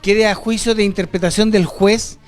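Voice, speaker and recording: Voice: mid-range voice, fairly even intonation, moderate pace, darkish tone, soft background music. A Chilean interviewer asking probing questions and interjecting in a casual, conversational style. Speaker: interviewer, host.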